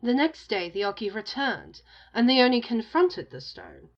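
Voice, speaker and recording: A woman's voice: a little high, very animated, moderate pace, highs cut off. A woman narrating a folktale as a storyteller, in a scripted storybook style.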